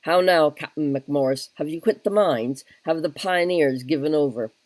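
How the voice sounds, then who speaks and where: A man voicing a calm, level-headed English army officer in a solo reading of a stage play. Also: fairly high pitch, normal speed, dry recording, natural voice.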